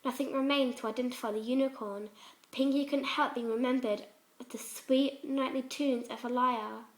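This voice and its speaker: A woman's voice: sits high, fairly even intonation, normal speed. A woman reading fan fiction aloud as a dramatic scripted story narration.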